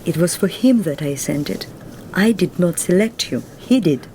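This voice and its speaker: A woman's voice: on the low side, expressive, darkish tone, slight background noise, close to an everyday voice. An actress playing a guarded Russian woman. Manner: formal, measured and reserved. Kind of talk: film drama dialogue.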